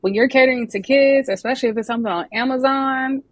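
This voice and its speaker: A woman's voice: high voice, fast talker, no room sound. A woman hosting a podcast, keen and curious as she asks her guest questions. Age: young adult or older; has a daughter. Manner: enthusiastic, asks warm follow-up questions. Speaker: podcast host. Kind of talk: casual interview talk.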